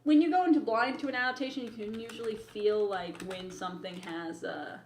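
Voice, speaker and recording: A woman's voice: a little high, fairly quick, a little mellow, a little hiss or hum. A talkative, opinionated woman co-hosting a podcast, giving informal film commentary in casual conversation. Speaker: podcast co-host reviewing films. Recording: echoey, roomy sound.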